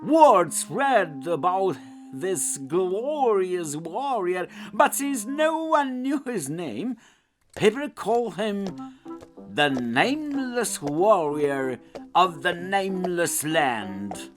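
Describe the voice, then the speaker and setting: A man's voice: sits high, very animated, drawn-out words, faint music behind. A man reading a scripted children's story as its narrator.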